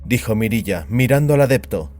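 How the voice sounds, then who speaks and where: Hombre narrador de relatos dramáticos. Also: male voice, on the low side, animated, brisk, a little mellow, soft background music. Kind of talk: scripted dramatic story narration.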